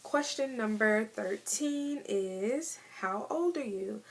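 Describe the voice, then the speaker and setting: A woman's voice: fairly high pitch, animated, takes it slowly. Laid-back chatty young woman. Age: 20s. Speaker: vlogger. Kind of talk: casual tag video chat.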